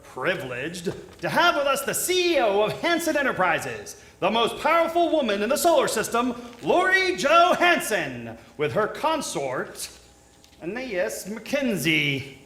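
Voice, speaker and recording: Man acting a high, bright talk show host. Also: very animated, measured pace, heavily acted. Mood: showy. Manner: polished, pointed, announcer-like. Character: slick, probing male broadcast talk show host. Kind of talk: live radio theatre acting. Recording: echoey live stage recording.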